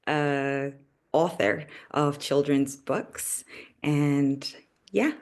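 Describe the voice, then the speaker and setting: A woman from Tanzania speaks in a low voice as she introduces herself on a video call. She is measured and sometimes hesitant. She talks as an educator. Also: clear, resonant tone. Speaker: educator, panelist. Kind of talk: self-introduction.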